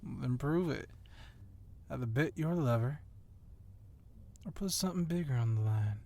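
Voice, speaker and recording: A man's voice: mid-range voice, expressive, measured pace, dark tone. A man playing a confident, sly policeman wrestler who teases and goads his rival in an ASMR roleplay. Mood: goading. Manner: teasing, needling.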